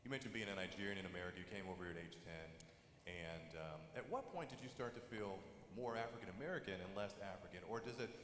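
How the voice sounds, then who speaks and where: Low-voiced interviewer in an echoing hall. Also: male voice, expressive, fast talker, fairly bright tone. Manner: curious, hesitant, rephrasing questions. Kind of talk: conversational interview questions. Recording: roomy hall with background noise.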